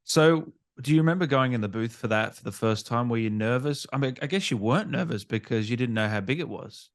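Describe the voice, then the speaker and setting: A man's voice: mid-range voice, expressive, a little fast. A man hosting a casual gaming interview, speaking conversationally as he asks questions and reacts. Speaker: interviewer, gaming channel host.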